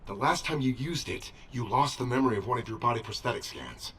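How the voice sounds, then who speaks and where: A man's voice: medium pitch, expressive, a little fast, fairly bright tone, breathy edge, recorded from a distance. A man voicing a dutiful, cautious covert operative in a video game, speaking formally and deferentially as a loyal subordinate. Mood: concerned.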